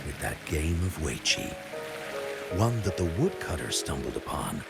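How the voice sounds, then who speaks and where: A man's voice: extremely low pitch, sing-song, unhurried, vocal fry, heavily acted. A man playing a grand, mysterious storyteller in a video game, telling legends in a theatrical manner. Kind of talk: dramatic oral storytelling. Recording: game music behind the voice.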